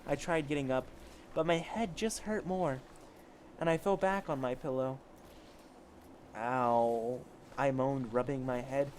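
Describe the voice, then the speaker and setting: A man's voice: mid-range voice, measured pace, pauses often, darkish tone, a little hiss or hum. A man casually reading fan fiction aloud as an amateur story reader, stumbling over the text and correcting himself.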